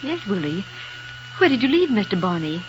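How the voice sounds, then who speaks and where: A woman's voice: medium pitch, big pitch swings, normal speed, close to an everyday voice. A woman playing a caring, capable young space lieutenant in a 1930s radio serial, on a muffled old broadcast with noise. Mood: businesslike.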